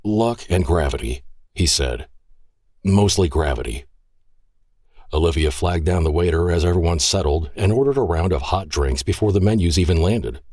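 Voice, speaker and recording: A man narrating a scripted audio drama in measured storytelling. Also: deep voice, a little mellow, no room sound, clean studio sound.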